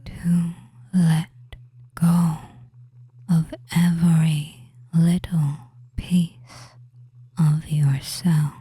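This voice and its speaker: A performer playing a soothing, commanding witch hypnotist in a breathy whisper, coaxing in short drawn-out phrases with long pauses. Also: medium pitch, level tone, warm tone, dry recording. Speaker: audio roleplay performer. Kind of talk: whispered hypnosis audio roleplay, slow guided trance.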